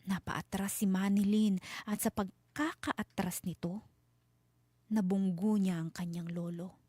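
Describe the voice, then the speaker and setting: Dark-toned woman narrating a horror story. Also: mid-range voice, fairly even intonation, measured pace, slightly rough, no echo. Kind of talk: dramatic scripted horror narration.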